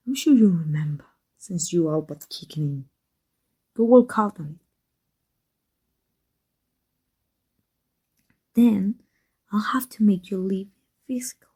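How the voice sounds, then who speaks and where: A woman playing an embittered villain secretly in love with a rival, in an intense ASMR roleplay monologue, husky and breathy, often dropping to a whisper. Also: medium pitch, lively intonation, a little slow, mellow. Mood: defiant, hostile.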